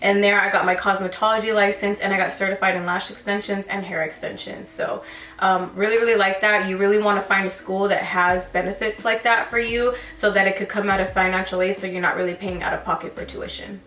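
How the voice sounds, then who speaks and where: A woman's voice: medium pitch, flat delivery, fairly quick, fairly bright tone, reverberant, recorded from a distance. A woman in her twenties chatting casually as she answers viewer questions about lash work, calling her viewers girl and sis. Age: 20s. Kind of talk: casual Q&A chat while sipping wine.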